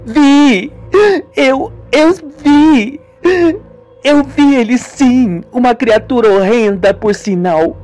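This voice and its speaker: A woman's voice: a little high, takes it slowly, mellow, faint music behind, voice pushed up. A woman voicing a terrified, tearful young woman in a radio soap opera, pleading and exclaiming melodramatically. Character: terrified, tearful young sister haunted by death.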